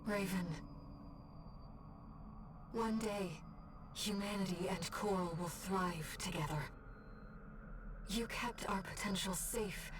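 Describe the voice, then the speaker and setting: An actress playing a gentle, earnest young female companion in a mecha video game, soft and composed. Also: medium pitch, slow, pauses often, background noise, natural voice. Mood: tender, hopeful. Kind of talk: dramatic video game voice acting. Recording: slightly distant game audio under music. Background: prominent music.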